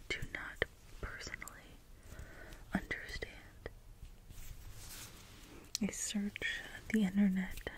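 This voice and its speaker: A gentle caretaker robot, played by a woman in an ASMR role play, speaks in soft breathy whispers. The delivery is flat, slow and halting, measured out phrase by phrase. It sounds literal-minded, naive and eager to help. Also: medium pitch, poor audio. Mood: curious, puzzled. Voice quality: breathy whisper, mostly unvoiced. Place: indoors, small room, close in front of backdrop. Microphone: close ASMR microphone.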